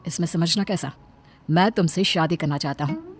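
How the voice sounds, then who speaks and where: A woman's voice: fairly low pitch, sing-song, moderate pace. A woman voicing an earnest, lovestruck young man in a storybook tale, pleading and insistent. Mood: smitten. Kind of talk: storybook character dialogue. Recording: background music under the voice.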